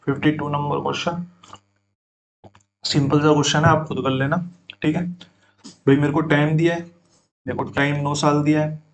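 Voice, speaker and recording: A man's voice: a little high, little pitch movement, moderate pace, long pauses. A young man teaching an exam-prep math lesson, talking students through each step and calling them brother.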